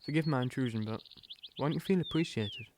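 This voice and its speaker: A man's voice: medium pitch, expressive, fast, lightly acted. A young man acting in an audio drama as a polite but bitter British-Italian wartime internee, with a wry manner. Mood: gentle. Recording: noisy recording with birdsong. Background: birdsong.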